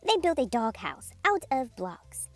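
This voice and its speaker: High, animated woman reading to children. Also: unhurried, dry recording, faint music behind. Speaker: storytime reader and host. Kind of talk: children's read-aloud narration.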